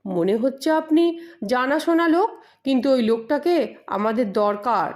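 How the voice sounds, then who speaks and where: A woman's voice: high voice, lively intonation, unhurried, a little mellow. A woman voicing a menacing, bullying male hired thug in an audio story. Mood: wary, insistent. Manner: bullying, demanding.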